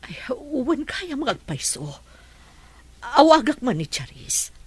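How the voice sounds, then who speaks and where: A woman's voice: sits high, big pitch swings, unhurried, darkish tone. A woman acting a loving, anxious middle-aged mother in an Ilocano radio drama. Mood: worried.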